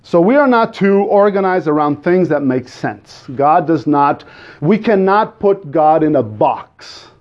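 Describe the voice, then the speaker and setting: Portuguese-accented man preaching, slightly higher voice. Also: expressive, dull audio. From Azores, Portugal. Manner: earnest, halting delivery with frequent restarts, asks the congregation questions. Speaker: guest preacher. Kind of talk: sermon.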